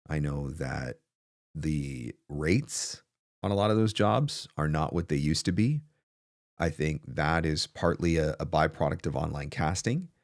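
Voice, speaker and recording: Male host, 40s, lower register, emphatic casual advice delivery, dry home-studio sound. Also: dry recording. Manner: emphatic, rhetorical questions, repeats key phrases for stress. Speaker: host, voice actor coach. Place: small home studio, indoors. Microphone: close desk broadcast microphone.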